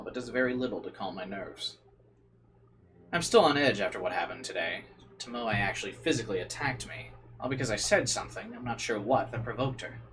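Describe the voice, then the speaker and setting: A man's voice: mid-range voice, fairly bright tone, slight background noise, rough recording. A man reading visual novel narration aloud as a streamer, dropping into informal side comments and occasionally stumbling over words.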